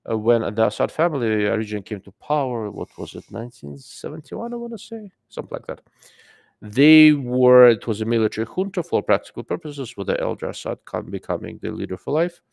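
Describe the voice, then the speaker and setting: Accented male livestream host, low gravelly voice, dry close sound, rambling off-the-cuff delivery. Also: expressive, fairly quick, darkish tone, no room sound. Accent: non-native English. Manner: rambling, filler-heavy, greets chat mid-sentence. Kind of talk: casual live-stream commentary.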